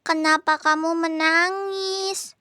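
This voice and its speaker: A woman's voice: very high voice, steady tone, slow pace, very noisy, pitched above the performer's own voice. A woman voicing a little girl in a children's story, speaking in an earnest, childlike way. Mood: curious. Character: cheerful little girl, curious, then sorry.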